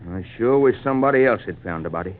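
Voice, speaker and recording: A man's voice: lowish voice, animated, quick pace, lightly acted. A man playing a shrewd, matter-of-fact country fellow in 1940s radio acting. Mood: dry, shrewd. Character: shrewd, unhurried country man, drily reasoning. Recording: tinny 1940s radio recording.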